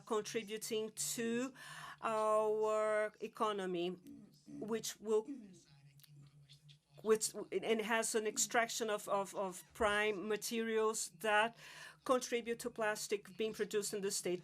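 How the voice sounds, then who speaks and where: A woman giving a live interpretation of a conference presentation, measured and halting. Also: on the high side. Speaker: simultaneous interpreter.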